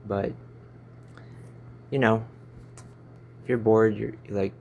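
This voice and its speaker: Rambling young Filipino man, low mellow voice. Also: steady tone, slow, vocal fry, dull audio, slight background noise. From Philippines. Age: 20s. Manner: stumbling, fumbling over words. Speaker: YouTuber. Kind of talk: casual rambling vlog chat. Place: small stuffy room. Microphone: phone.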